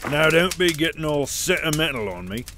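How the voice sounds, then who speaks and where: Audio drama villain: unsentimental working-class crook male actor, higher-set voice. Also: expressive, fairly quick, noisy background, natural voice. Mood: cold, smug. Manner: matter-of-fact, unsentimental. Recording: music behind the voice.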